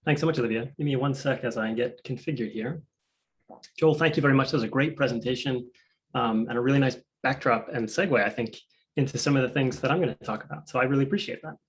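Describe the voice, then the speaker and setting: A man's voice: mid-range voice, fast. A man who is a PhD student, starting a conference presentation over a video call in a friendly, chatty way with many fillers.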